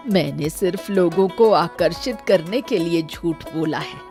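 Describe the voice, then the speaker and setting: A woman's voice: lowish voice, a little slow, warm tone. A woman playing a shrewd, caring old village grandmother in a cartoon story, speaking in an assured, coaxing way. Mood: sly, tender. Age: older. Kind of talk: scripted cartoon story acting. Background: music.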